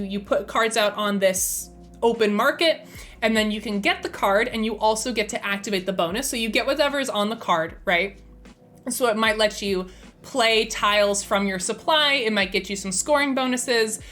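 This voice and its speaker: A woman's voice: a little high, fairly bright tone. A young woman hosting a casual live stream, chatty and enthusiastic, her voice a little strained from being sick. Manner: chatty, enthusiastic, thinks aloud with fillers. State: slightly strained, losing her voice. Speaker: streamer and host.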